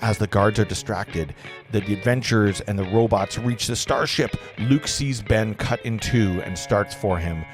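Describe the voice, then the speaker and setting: A man's voice: lowish voice, unhurried. A man acting as narrator at a table read, reading screenplay stage directions aloud earnestly, with the odd stumble. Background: music.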